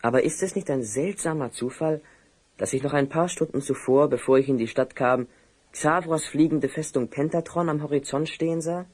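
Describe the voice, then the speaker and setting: A man playing a composed apprentice magician in an audio drama, speaking in a measured, slightly theatrical way. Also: on the high side, darkish tone, close to an everyday voice. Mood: thoughtful. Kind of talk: German audio drama acting.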